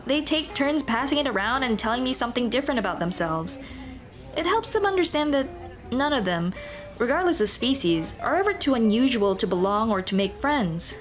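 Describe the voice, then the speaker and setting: A woman's voice: a little high, lively intonation, a little fast, close to an everyday voice. Woman acting a shy, earnest monster girl, music behind. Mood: playful, proud. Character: shy, earnest female Dullahan teacher, headless monster girl on a date. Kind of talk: ASMR roleplay acting.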